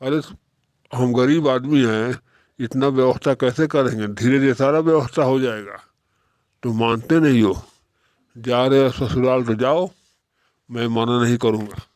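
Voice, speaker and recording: A man's voice: medium pitch, a little slow, pauses often, darkish tone, no room sound, natural voice. A man playing a poor old father in a moral-story cartoon, lecturing his son in a plaintive, reproachful way. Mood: hurt, reproachful.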